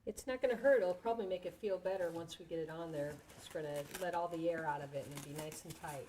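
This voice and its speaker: A woman's voice: on the low side, quick pace, darkish tone. A woman working as an EMT, explaining care to a child patient in simple, reassuring words. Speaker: EMT with a rescue squad. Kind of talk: educational demonstration talking to a child patient. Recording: noisy, clicks and rustle of gear.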